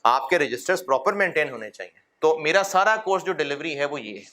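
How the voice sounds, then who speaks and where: A middle-aged man lecturing a classroom of accounting students in a fatherly, encouraging way, with rhetorical questions and gentle humor. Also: on the high side, animated, fast talker.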